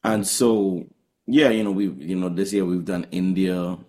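A man's voice: sits low, fairly even intonation, unhurried, warm tone. A man with a Trinidadian accent, a touring jazz musician answering interview questions earnestly, often restarting his phrases.

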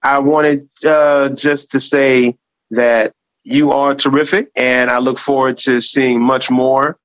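Halting, repetitive male radio host. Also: mid-range voice, little pitch movement, a bit bright, no room sound, poor audio. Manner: halting, repeats words and restarts often; encouraging, complimentary toward guest. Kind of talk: casual interview.

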